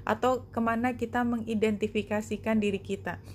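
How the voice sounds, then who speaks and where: Higher-voiced woman reading cards. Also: steady tone, brisk, slight background noise. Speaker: tarot and oracle card reader. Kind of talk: spoken card reading, explanatory monologue to viewers.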